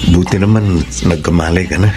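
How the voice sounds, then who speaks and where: An actor playing a gentle, devout male confidant in a Filipino radio drama, earnest and comforting. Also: low voice, fairly quick, loud music behind the voice, close to an everyday voice. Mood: consoling, earnest. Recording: loud background noise.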